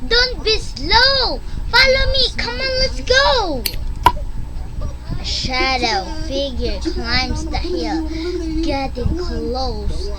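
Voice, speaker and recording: A female reader with a high voice reads a children's story aloud. The delivery is very slow and halting but expressive, with big swings in pitch. Clear noise sits behind the voice on the home recording. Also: a loud crowd. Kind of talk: reading a children's story aloud with quiz answers.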